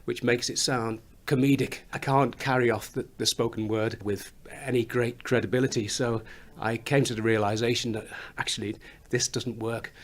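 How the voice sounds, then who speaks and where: A man's voice: steady tone, normal speed. Man with a slight Liverpool accent. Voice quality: fairly high-pitched voice. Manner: chatty, laughs often, explains step by step. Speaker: songwriter and musician. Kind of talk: radio interview chat. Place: recording studio.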